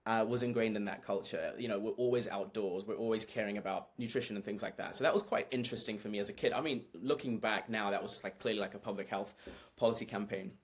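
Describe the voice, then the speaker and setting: A man with a mixed New Zealand and British accent speaks in a low, relaxed register. He is friendly and chatty, telling stories with plenty of restarts. His talk is casual podcast conversation. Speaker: guest physician.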